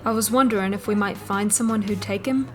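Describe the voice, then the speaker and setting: A woman's voice: medium pitch, steady tone, a little fast, soft background music, lightly acted. An actress playing a caring, plain-spoken common woman in a fantasy audio drama, folksy and hesitant. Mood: tender, unsure.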